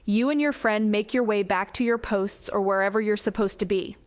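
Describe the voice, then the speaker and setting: A woman's voice: medium pitch, steady tone, fairly quick, clear, resonant tone, pitched above the performer's own voice, natural voice. A woman voicing a determined young female soldier with a firm, commanding manner in an audiobook. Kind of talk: audiobook character voice.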